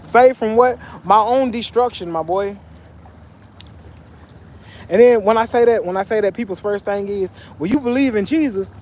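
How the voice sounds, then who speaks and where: A man's voice: high-pitched, normal speed, long pauses, a little mellow. A young man from Texas chatting casually on a phone livestream by a pool, reading out comments in a loose, slangy way. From Texas, USA. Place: outdoors by a pool.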